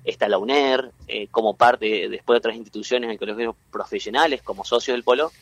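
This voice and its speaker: A man from Entre Ríos in Argentina with a local accent, a guest explaining at length in an interview, halting and full of fillers and restarts. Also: medium pitch, no echo, muffled recording. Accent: Entre Ríos Argentine Spanish. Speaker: guest, tech cluster president.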